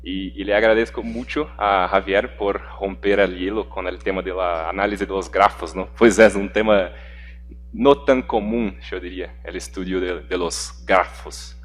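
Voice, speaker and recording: Low-voiced man, halting, echoing hall. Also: steady tone. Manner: halting, repeats words, laughs with the audience. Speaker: guest presenter. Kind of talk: conference presentation. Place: large conference hall. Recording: long hall reverb with faint hum.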